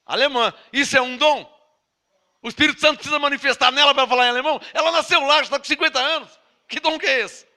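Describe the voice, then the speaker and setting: A man's voice: very high-pitched, quick pace, bright, forceful tone. A Brazilian man with a Brazilian Portuguese accent preaching a sermon, emphatic and scolding, throwing rhetorical questions at his listeners. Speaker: pastor.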